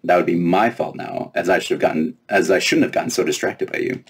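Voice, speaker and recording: A man's voice: sits low, fast, vocal fry, breathy edge, exaggerated voice. A man reading a children's storybook, voicing a small, proud, teasing fairy princess who is breezy and self-important. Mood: teasing, brisk. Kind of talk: children's storybook read aloud.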